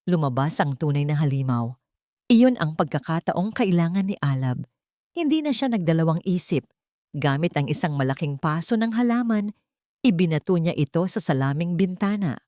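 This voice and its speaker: A woman's voice: low-pitched, very animated, moderate pace, dark tone, no echo, clean studio sound. A woman with a Filipino accent reading a scripted dramatic story as its narrator.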